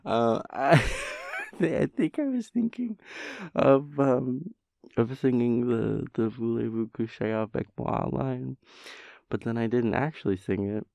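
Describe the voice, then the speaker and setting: A man's voice: mid-range voice, expressive, slow, creaky, a little mellow, dry recording. A man playing a playful, sleepy, chaotic boyfriend in an intimate roleplay audio, rambling and stammering as he teases and coaxes his lover. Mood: flustered, amused. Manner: rambling, stammering, teasing, breaking into whispers and giggles.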